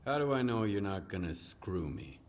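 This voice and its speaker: A man playing a gruff, wary lone stalker in a video game, terse and guarded. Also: on the low side, very animated, normal speed, slight background noise. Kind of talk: video game character dialogue.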